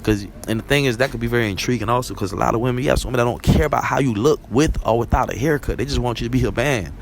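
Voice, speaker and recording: A man's voice: mid-range voice, racing, creaky, a little hiss or hum. A young man with a Barbadian accent hosting a rambling, conversational solo talk and often asking "you know what I'm saying?". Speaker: vlog host. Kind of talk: casual solo monologue.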